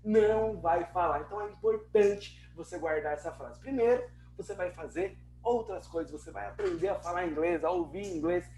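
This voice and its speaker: A Brazilian-accented teacher pitches an online English course in an emphatic, persuasive way, asking rhetorical questions and driving points home through repetition. The voice sits at a middle pitch and echoes around the room. A faint hum runs underneath. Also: normal speed, dark tone. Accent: Brazilian Portuguese. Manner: emphatic, rhetorical questions, repeats phrases for effect. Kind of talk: promotional online lesson. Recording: roomy echo with faint hum.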